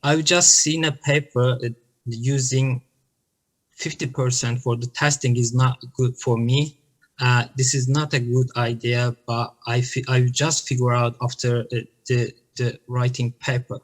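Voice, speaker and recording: Turkish-accented man, flat halting talk. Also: medium pitch. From Turkey. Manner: halting, with frequent restarts and fillers. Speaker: conference presenter. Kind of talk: answering questions on a video call. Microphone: laptop webcam mic on a video call.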